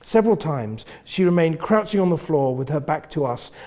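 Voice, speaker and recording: A man with a husky voice reading first-person literary fiction in an expressive, theatrical manner, often hushing the ends of phrases. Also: fairly high pitch, normal speed. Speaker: reader of a novel.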